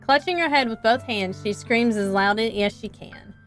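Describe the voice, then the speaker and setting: A young woman streamer reads a visual novel aloud in an expressive, earnest way into a close microphone. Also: fairly high pitch, normal speed, faint music behind.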